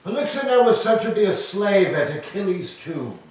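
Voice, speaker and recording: A man's voice: high-pitched, sing-song, moderate pace, darkish tone. A man playing an evasive Greek herald bearing grim news, with emphatic delivery in a staged tragedy reading. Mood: evasive, grave. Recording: distant, echoey hall with background noise.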